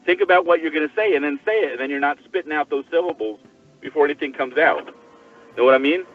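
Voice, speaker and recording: A man's voice: a little high, fast. An older man chatting casually and giving advice in a blunt, coaxing manner. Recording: tinny phone-like line, distant, music behind.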